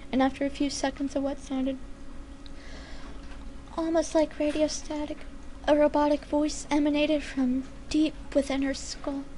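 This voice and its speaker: A woman's voice: high voice, mellow, low-quality recording. A woman giving an amateur dramatic narration of a comic fan-written story. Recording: faint background noise.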